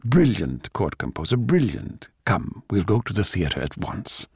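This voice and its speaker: A man's voice: deep voice, big pitch swings, unhurried, gravelly, husky, dry recording, clean studio sound, full character voice. A man playing a haughty, indignant, disapproving official of an imperial court, in a dramatic play reading. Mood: officious.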